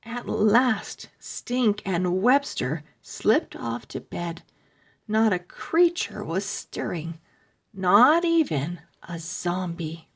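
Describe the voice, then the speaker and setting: Slow, expressive woman reading to kids. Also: mid-range voice, vocal fry, slightly rough. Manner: expressive, deliberate storytelling. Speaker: children's book reader. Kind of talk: read-aloud storytelling for kids.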